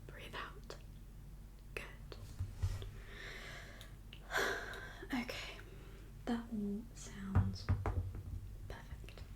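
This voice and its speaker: A woman whispering an ASMR medical roleplay as a kindly doctor, gentle and reassuring in an unhurried, professional way. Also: mid-range voice, steady tone. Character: kindly doctor for undead patients. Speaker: ASMR performer playing a doctor.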